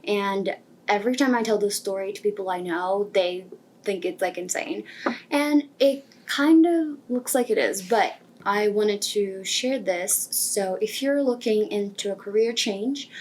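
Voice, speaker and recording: A woman in her twenties who grew up in Brazil with Portuguese as her first language shares a personal story. Her pitch sits in the middle for a woman. She talks informally, with youthful, emphatic phrasing, as if chatting to peers. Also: a little slow, a little mellow, slight background noise, poor audio. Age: 20s. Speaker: software engineering student telling career story.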